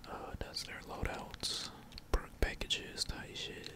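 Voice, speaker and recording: A gamer whispering slangy, laid-back ASMR commentary while playing, with casual swearing. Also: takes it slowly. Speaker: gaming streamer.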